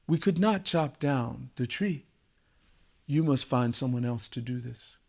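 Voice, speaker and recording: Strong village women, voiced by a male storyteller: solemn, soft-spoken, deliberate, regretful folktale delivery. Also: medium pitch, expressive, gravelly.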